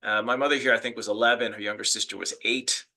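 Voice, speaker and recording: A man's voice: lowish voice, fairly even intonation, quick pace, vocal fry, bright, forceful tone. A middle-aged man with an American accent giving an online lecture, telling stories earnestly with fillers and restarts. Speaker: academic speaker.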